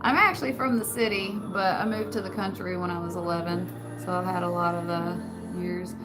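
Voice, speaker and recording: A soft-spoken woman with a rural Western American accent, chatting casually with her viewers and laughing often. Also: lowish voice, steady tone, brisk, poor audio. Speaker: channel host. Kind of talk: casual live chat answering comments. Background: background music.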